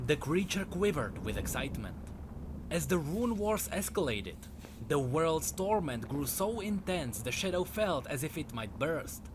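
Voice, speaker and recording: Guy narrating game lore dramatically, kinda high voice that moves around a lot, clear noise behind it. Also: big pitch swings, a little slow. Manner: dramatic storytelling delivery. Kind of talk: scripted narration.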